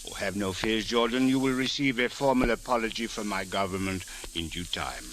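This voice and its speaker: Actor playing formal, philosophical police chief. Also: male voice, lowish voice, fairly bright tone, close to an everyday voice. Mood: courteous, formal. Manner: formal, measured phrasing. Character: courteous, philosophical male police chief of an ancient land. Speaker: supporting radio actor. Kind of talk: 1940s-50s radio drama acting. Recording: hissy old radio recording.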